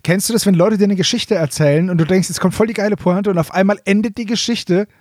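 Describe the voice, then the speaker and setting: High-voiced man, jokey and colloquial. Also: fast talker, a little mellow, no room sound, clean studio sound. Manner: jokey, colloquial, acts out short mock quotes. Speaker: podcast co-host. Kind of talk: casual humorous chat recapping an audio drama.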